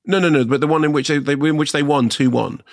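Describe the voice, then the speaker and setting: A man's voice: fairly high pitch, quick pace, vocal fry, no room sound, studio quality. A man in his sixties co-hosting a casual podcast chat, telling rambling stories and often correcting himself mid-sentence. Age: 60s. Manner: rambling, self-correcting storyteller. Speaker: co-host.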